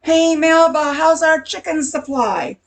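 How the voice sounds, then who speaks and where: A woman voices a worn-out waitress in a radio comedy. Her highish voice is gravelly and raspy, with slow, weary timing. She is blunt and sarcastic. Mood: brusque. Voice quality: gravelly, husky. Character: brusque, worn-out diner waitress. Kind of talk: amateur comic radio sketch.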